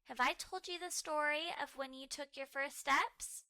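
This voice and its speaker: A woman voicing a patient, candid, loving mother dog in a cartoon storybook, explaining and reminiscing with gentle wryness. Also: high voice, moderate pace, pitched above the performer's own voice.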